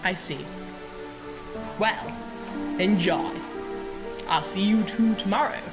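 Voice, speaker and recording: A woman's voice: medium pitch, slow, natural voice. A woman playing a composed, approving boss in a fan audio drama. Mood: approving, measured. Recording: long reverb, music and rain behind.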